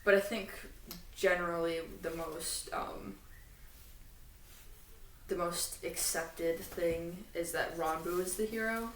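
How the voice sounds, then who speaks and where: A woman with a slightly low voice talks casually as a podcast co-host, explaining things like a fan and drifting into asides. She sounds a bit away from the mic in an echoey room, with faint noise behind her. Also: fairly even intonation, slow pace, pauses often, fairly bright tone, recorded from a distance.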